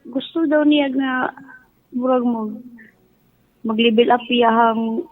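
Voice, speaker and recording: A woman's voice: on the high side, steady tone, slow, no echo. A Filipino woman with a Cebuano accent calling in by phone, giving her opinions casually and deferentially. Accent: Filipino, Cebuano-speaking. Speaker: phone-in caller. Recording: dry, muffled phone call over faint music.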